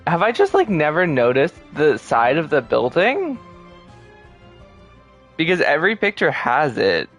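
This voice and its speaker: A man's voice: on the high side, very animated, unhurried, pauses often, a bit bright, soft background music. A man giving casual gameplay commentary as a streamer, chatty, colloquial and self-deprecating. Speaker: game streamer.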